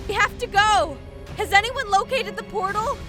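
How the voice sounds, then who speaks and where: A woman voicing a fierce succubus warrior in a fantasy audio drama, shouting urgently. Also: very high voice, normal speed, music in the background. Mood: urgent, alarmed.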